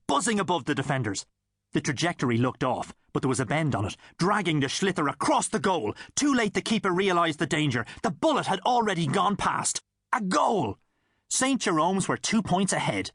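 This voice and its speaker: A man's voice: a little high, fairly bright tone, no room sound, clean studio sound. A man with an Irish accent reading a comic story as an audiobook narrator.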